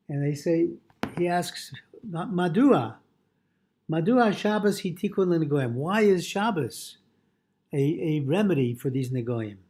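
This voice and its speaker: A male rabbi gives a Torah lesson in a husky, mellow voice pitched a little high. He reads a passage, translates it, and explains it haltingly, often repeating his phrases. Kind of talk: religious lesson, reading and translating a text aloud.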